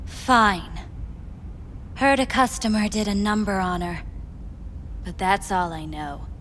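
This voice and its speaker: A woman's voice: medium pitch, expressive, takes it slowly, a bit bright, in a large hall, slight background noise. A woman playing a wary, indignant club worker in a video game, curt and evasive. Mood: reluctant, wary.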